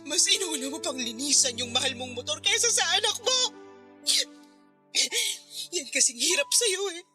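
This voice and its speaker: Woman acting bitter grieving wife in a radio drama, really high voice, tearful and blaming, music behind. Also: animated, moderate pace. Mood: accusing, angry. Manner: tearful, reproachful, emotional delivery. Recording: music under the voice.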